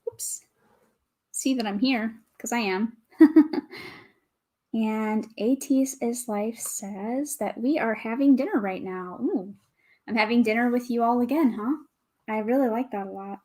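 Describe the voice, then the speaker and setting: A woman with a calm, soothing voice, chatting casually with viewers as a livestream host, greeting and thanking them as she goes. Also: on the high side, measured pace, pauses often. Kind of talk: casual livestream chat while building.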